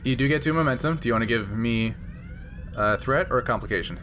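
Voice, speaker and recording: A man running a tabletop roleplaying game as game master, explaining rules and thinking aloud in a halting way. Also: mid-range voice, a bit bright, soft background music. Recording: noisy background.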